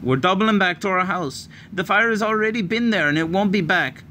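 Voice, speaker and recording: A man's voice: high voice, lively intonation, fairly quick, bright, forceful tone, slight background noise, voice pushed up. A man voicing a brave older Irish girl who speaks urgently and soothingly.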